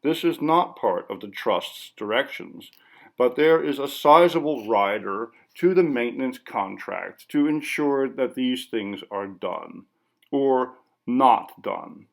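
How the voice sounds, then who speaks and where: Male audiobook narrator, unhurried and formal, mid-range pitch with creaky fry. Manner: measured, formal. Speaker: audiobook reader. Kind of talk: scripted literary story narration.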